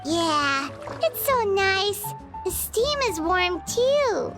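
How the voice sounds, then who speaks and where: An actress voicing a tiny, childlike fairy companion in a video game, with a squeaky, bubbly, naive manner. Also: female voice, very animated, very slow, over music, exaggerated voice. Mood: delighted. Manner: squeaky, bubbly, refers to self by name.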